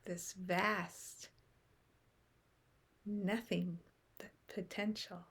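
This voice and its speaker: A woman leading a guided meditation in short, unhurried phrases with long pauses, softly trailing into breath at the ends. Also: lowish voice, flat delivery, husky. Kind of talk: guided meditation, unscripted and contemplative.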